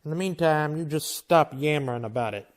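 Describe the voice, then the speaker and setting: A man's voice: a little high, a little fast, lightly acted. An actor playing a stern, wry Old West marshal in a radio drama, blunt and folksy in his manner. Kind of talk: old-time western radio drama acting.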